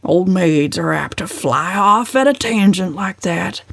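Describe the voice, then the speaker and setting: A woman's voice: lowish voice, lively intonation, a little slow, gravelly, a bit bright, no room sound, studio quality, heavily acted. A woman voicing a bossy, irritable old uncle who growls and snaps bluntly in an audiobook.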